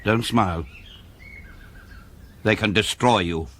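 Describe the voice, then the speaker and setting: A British man playing a shrewd, proud city governor in a radio drama, with a forceful, rhetorical delivery. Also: lowish voice, slow pace. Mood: wary challenge.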